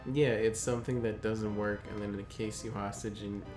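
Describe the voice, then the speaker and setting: A man talks in a fairly low voice, casually mixing reading aloud with banter. His manner is halting and wryly humorous. Music plays clearly in the background. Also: little pitch movement. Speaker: co-host reading wiki entries aloud.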